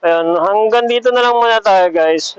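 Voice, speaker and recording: A man's voice: sits high, moderate pace, clear, resonant tone, no echo. A man chatting casually and rambling as he thinks aloud, in the style of a motovlog. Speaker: vlogger. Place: outdoors on the road in traffic. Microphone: motorcycle helmet intercom over Bluetooth. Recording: dull lo-fi Bluetooth intercom mic.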